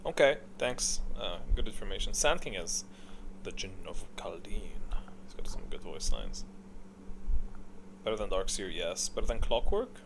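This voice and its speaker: A man's voice: on the low side, animated, normal speed, noisy background. A man giving casual, unscripted video commentary in a hesitant, rambling, stop-start way. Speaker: video host ranking game voice lines.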